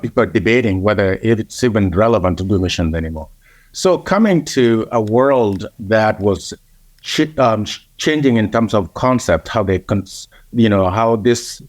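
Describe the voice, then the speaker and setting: Measured Kenyan-born man, dry sound. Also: on the low side, no echo. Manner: measured, frequent "uh" pauses. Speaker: interview guest, organization president. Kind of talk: conversational interview.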